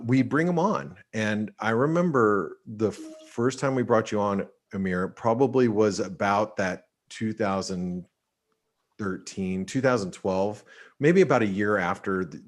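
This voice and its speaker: Male webinar host, low voice, loose chatty delivery with lots of fillers. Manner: casual, many fillers like "you know". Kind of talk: casual webinar chat. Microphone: video call.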